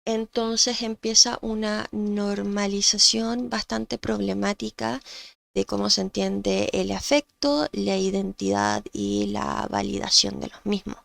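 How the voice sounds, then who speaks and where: Nasal, gravelly Chilean woman explaining. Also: medium pitch, fairly even intonation, no room sound. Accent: Chilean Spanish. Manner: thoughtful, pauses with fillers. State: nasal from allergies. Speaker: guest psychologist. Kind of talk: explanatory podcast talk.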